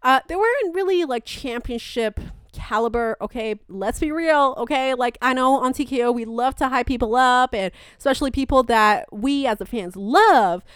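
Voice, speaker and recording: A woman's voice: high voice, normal speed, clean tone, dry recording, studio quality. A woman hosting a sports show, giving chatty, enthusiastic, colloquial commentary on fights in a casual style. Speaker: sports podcast host.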